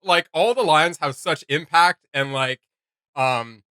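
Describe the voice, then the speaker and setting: A man's voice: a little high, slow pace, sharp tone, clean tone, dry recording. A man giving enthusiastic, slangy reaction commentary as a casual video host.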